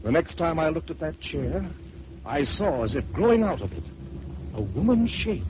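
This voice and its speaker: A man narrating a first-person horror story in dramatic old-time radio style, swelling and dropping to hushed tones. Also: a little high, big pitch swings, normal speed. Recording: dull lo-fi old radio recording with hiss.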